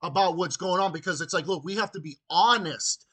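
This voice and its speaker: Man, late twenties, high pitch, bright tone, emphatic political talk, repetitive, stammering delivery. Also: fairly quick, husky. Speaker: political ranting show host. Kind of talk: political phone conversation.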